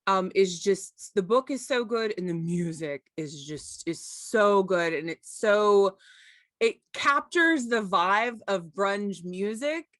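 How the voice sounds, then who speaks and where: A woman's voice: mid-range voice, expressive, takes it slowly, a bit bright, no room sound, clean studio sound. A woman hosting a podcast interview in an enthusiastic, effusive way. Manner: gushing, effusive. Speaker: podcast host.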